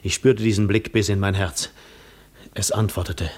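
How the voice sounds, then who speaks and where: Weary, lonely Greek wanderer, lowish voice, measured literary storytelling for a 1950s radio play. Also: male voice, fairly even intonation, darkish tone. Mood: brooding. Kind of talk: 1950s German radio drama narration.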